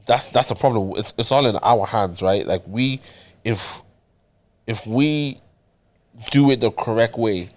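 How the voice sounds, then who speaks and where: A man's voice: medium pitch, unhurried, pauses often. A man co-hosting a podcast who talks earnestly in a casual chat and often repeats words while he thinks aloud. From Ireland. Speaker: podcast co-host.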